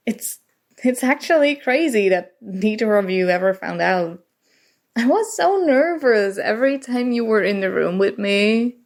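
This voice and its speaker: A shy, awkward college-age woman, played by an ASMR actress talks intimately and nervously. Her mid-pitched voice is heavy with creak. She goes slowly and haltingly, as if confessing something she has long kept to herself. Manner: hesitant, halting, sighing. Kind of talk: intimate ASMR roleplay acting.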